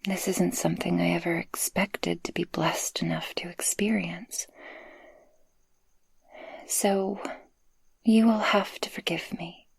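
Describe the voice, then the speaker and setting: Breathy, husky succubus played by a woman. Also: fairly low pitch, level tone, dry recording, exaggerated voice. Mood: shy, earnest. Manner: slow, soft, affectionate, intimate. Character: tender, possessive female succubus guardian, playful and shy in love. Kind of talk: intimate ASMR roleplay, much of it whispered.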